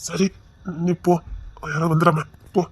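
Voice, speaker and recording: Curt husky young man, as an actor plays him. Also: fairly high pitch, flat delivery, unhurried, no echo. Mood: dismissive. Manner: curt, dismissive.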